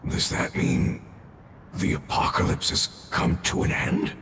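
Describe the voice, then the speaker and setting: A man voicing an ancient dying creature in a video game, weary, solemn and devoted, with formal, reverent speech and a gravelly growl. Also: measured pace, roomy sound, recorded from a distance, noisy background, lightly acted. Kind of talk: scripted video game voice acting. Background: game music.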